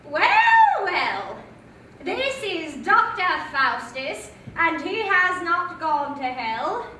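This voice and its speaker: A woman's voice: very high voice, wildly swooping pitch, very slow, pushed and bright, slight background noise, full character voice. A woman playing a country woman who speaks in a coaxing, lilting way, heard from far off in an echoey hall.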